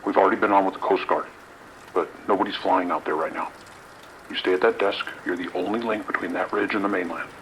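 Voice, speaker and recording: Police lieutenant, audio drama. Very low voice, no-nonsense and commanding, slight radio and rain noise behind. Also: male voice, steady tone, fast, a bit bright, low-quality recording. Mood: firm. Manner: terse, commanding.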